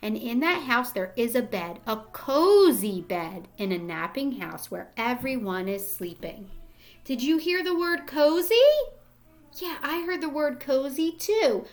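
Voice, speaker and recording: A woman teacher reading a picture book aloud to young children, deliberate and expressive, prompting them with questions. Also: high-pitched.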